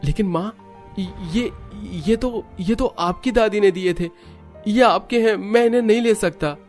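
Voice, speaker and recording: A man's voice: high-pitched, unhurried, faint music behind, voice pushed up. A man voicing a humble, emotional, devoted young brother in a cartoon story. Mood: pleading. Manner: earnest, protective, pleading. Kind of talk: Hindi cartoon story acting.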